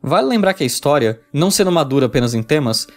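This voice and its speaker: A man's voice: fairly high pitch, very animated, fast talker. A man with a Brazilian accent reading a scripted video review.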